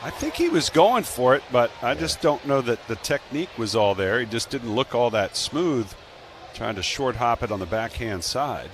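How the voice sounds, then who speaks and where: A man's voice: medium pitch, big pitch swings, moderate pace, a little hiss or hum. An American man giving live baseball radio commentary and reading promos. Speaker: baseball radio play-by-play announcer and analyst.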